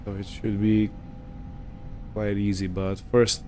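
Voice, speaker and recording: A man with a dark, relaxed, fairly low voice commentates casually on his own gameplay. He muses to himself without hurry, as if working out each step aloud. A faint steady rumble sits behind him. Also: takes it slowly. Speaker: gamer narrating own farming simulator playthrough. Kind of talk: casual let's-play commentary, thinking aloud.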